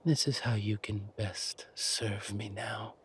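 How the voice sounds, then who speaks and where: A man acting a menacing, silkily mocking villain king in an audio roleplay, taunting with deliberate words and drawn-out pauses. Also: fairly low pitch, flat delivery, fairly bright tone, slight background noise. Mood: threatening.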